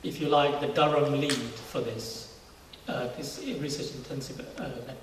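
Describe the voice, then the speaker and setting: A middle-aged man from Singapore explaining his work as an interview guest in a church, conversational, with halting phrases. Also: medium pitch, fairly even intonation. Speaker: interview guest, university research scientist. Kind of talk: informal testimony interview. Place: large church hall, indoors. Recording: very echoey church hall, distant mic, faint noise.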